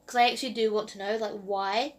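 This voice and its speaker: A woman's voice: medium pitch, fairly even intonation, fast. A woman in her early twenties with a New Zealand accent, talking casually to camera as a vlogger in a rambling way full of "like" and "um". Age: early 20s.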